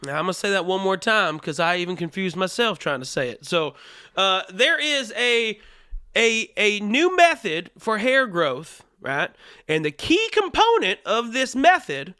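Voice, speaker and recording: Male comedy host, put-on Southern US accent, lively swooping intonation, clear voice, casual profane riffing, close mic. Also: high-pitched, measured pace, no room sound. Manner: crude, profane, joking.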